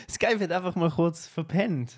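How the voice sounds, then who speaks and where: A young Swiss German man with a fairly high voice co-hosts a podcast. His talk is animated and full of slang, and he drops "bro" constantly. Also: slow. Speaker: podcast co-host. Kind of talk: casual chat.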